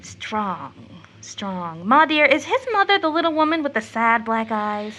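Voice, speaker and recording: A woman's voice: on the high side, sing-song, measured pace, sharp tone, exaggerated voice. An actress playing an eager, tender-hearted teenage schoolgirl in an audio drama, chatty, girlish and earnest. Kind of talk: stage-play audio drama acting.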